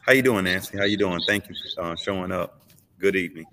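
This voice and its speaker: A man's voice: sits low, fast talker, bright, forceful tone, slight background noise. A man hosting an interview, asking questions hesitantly with many fillers. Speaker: interview host. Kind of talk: interview conversation. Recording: long hall reverb.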